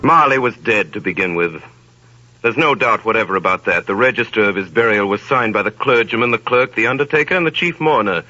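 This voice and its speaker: A man narrating a radio play in a grand, theatrical manner. His voice is low and measured. The sound is dull 1930s broadcast audio. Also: expressive, sharp tone, faint music behind. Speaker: narrator and host.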